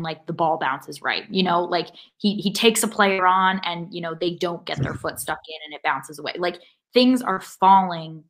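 A woman's voice: on the low side, a bit bright, low-quality recording. A woman talking casually as a soccer fan on a podcast, in a questioning, frustrated manner. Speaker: podcast co-host, sports fan.

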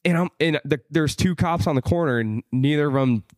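A man in his twenties hosting a podcast, excitable and swearing freely as he tells loose stories. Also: on the high side, a little fast, no echo. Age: 20s. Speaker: podcast host. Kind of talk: casual chat.

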